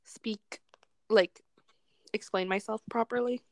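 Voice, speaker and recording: A young married woman co-hosting a podcast, chatting casually with giggles and hesitant pauses. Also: fairly high pitch, fairly even intonation, no echo. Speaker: podcast co-host. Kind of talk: casual chat.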